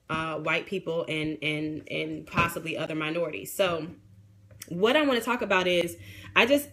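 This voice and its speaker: A woman in her thirties, a realtor, chatting frankly with viewers on a phone livestream, her voice a bit raspy from a sinus infection. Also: fairly low pitch, sharp tone. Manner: frank, chatty, reads out and answers viewer comments. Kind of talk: casual livestream chat with viewers. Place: small room indoors. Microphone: phone front camera at arm's length, neckband headset.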